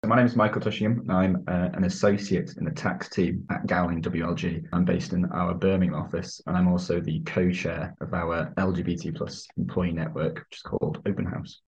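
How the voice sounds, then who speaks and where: A man with a very deep voice gives considered interview answers. The recording is slightly dull. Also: flat delivery, a little fast, creaky, few pauses, a little mellow. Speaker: podcast guest, tax lawyer. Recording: slightly dull, muffled sound.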